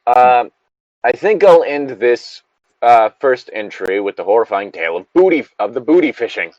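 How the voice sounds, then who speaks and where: A man's voice: medium pitch, animated, normal speed, darkish tone, dry recording, lo-fi sound. A man in casual banter as a show co-host, rambling, swearing and correcting himself as he goes. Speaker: co-host of a story-reading show.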